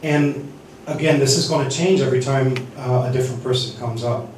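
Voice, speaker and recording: A man teaching a seminar on church sound desks, speaking haltingly with many restarts and fillers. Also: mid-range voice, steady tone, darkish tone, slight background noise. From United States. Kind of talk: teaching sound-desk basics. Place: church hall. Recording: echoey hall from far off.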